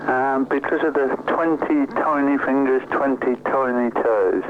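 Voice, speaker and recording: A man's voice: mid-range voice, measured pace. A man calling a radio quiz, hesitant and sheepish. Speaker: caller. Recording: phone line with hiss.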